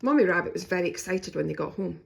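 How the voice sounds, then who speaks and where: A woman's voice: fairly low pitch, lively intonation, quick pace, vocal fry, slightly rough. A woman narrating a children's story expressively as a storybook reader.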